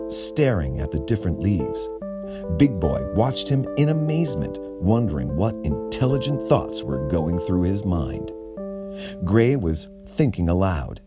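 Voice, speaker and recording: A man reads a children's mystery aloud in a small bookshop. His voice is lowish and unhurried but very animated. Gentle guitar plays underneath. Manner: slow, expressive read-aloud. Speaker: storyteller. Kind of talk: reading a children's book aloud.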